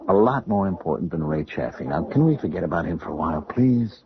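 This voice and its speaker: A placating young man of radio drama, has a low voice with animated swings. He explains himself earnestly and evasively. The old broadcast sound is dull. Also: fast talker. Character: evasive, pleading young man named Corey, eager to placate. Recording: muffled old radio recording.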